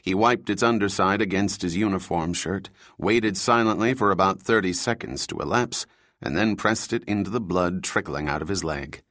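A man narrating a thriller audiobook in a steady, even reading voice. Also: sits low, normal speed, no room sound, clean studio sound.

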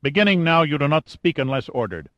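A man's voice: on the high side, sing-song, a little fast, fairly bright tone, no echo, muffled recording, pitched above the performer's own voice. A man voicing a huge, brutal American woman who was a prison guard, harsh and full of contempt. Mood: vicious.

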